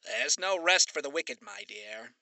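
A man's voice: mid-range voice, lively intonation, unhurried, sharp tone, dry recording, clean studio sound, full character voice. A man voicing a sly, mocking male radio demon who speaks with a theatrical, condescending drawl. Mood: smug.

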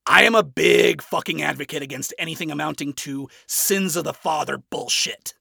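A brash, scornful man as a male narrator acts him out in a story reading. His voice is raised and forceful with some gravel in it, and he speaks in a blunt, biting, sarcastic way, swearing freely. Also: a little high, normal speed, no room sound, studio quality, pitched above the performer's own voice, heavily acted. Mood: blunt, flat. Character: brash, scornful, foul-mouthed man ranting at his enemy.

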